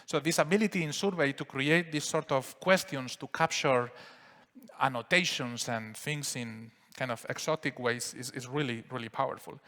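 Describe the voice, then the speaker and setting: A man with a Spanish accent giving a relaxed live software demo at a conference, explaining steps with frequent fillers. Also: mid-range voice. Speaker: software presenter. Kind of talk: live product demo talk. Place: conference hall. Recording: reverberant conference hall.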